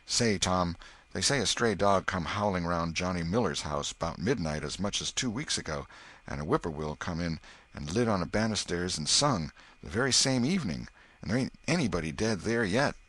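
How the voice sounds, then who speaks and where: A man voicing a scared, superstitious young village boy who speaks in a rustic backwoods dialect, hushed and fearful. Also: low-pitched, lively intonation, normal speed, breathy edge, exaggerated voice. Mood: frightened.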